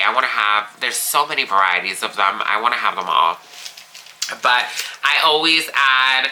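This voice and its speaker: A gardening vlogger talks through a haul at an unhurried pace, sounding stuffy and congested from allergies. The tone stays eager and gushing, and a steady hiss of background noise sits under the voice. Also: medium pitch, low-quality recording. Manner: enthusiastic, gushing about flowers. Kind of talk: casual show-and-tell haul.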